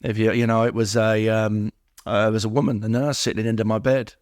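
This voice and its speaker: A man's voice: on the low side, flat delivery, quick pace, a little mellow, dry recording, studio quality. Middle-aged man telling his story. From England. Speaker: podcast guest. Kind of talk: personal recovery storytelling.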